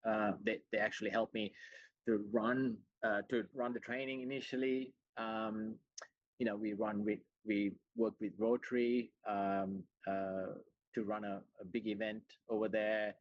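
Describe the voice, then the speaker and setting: A man's voice: fairly low pitch, little pitch movement, measured pace. A middle-aged man from Sri Lanka who has long lived in Australia, answering interview questions earnestly and conversationally over a video call. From born in Sri Lanka, long resident in Australia. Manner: earnest, explanatory, frequent you-knows. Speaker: chief executive, interview guest. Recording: roomy, dull video-call audio.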